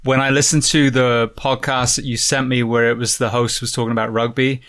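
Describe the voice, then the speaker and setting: A man from England with an accent that mixes English and American, hosting an interview with relaxed, friendly questions. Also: mid-range voice, a little fast, clear, resonant tone. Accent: mixed English and American. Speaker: podcast host.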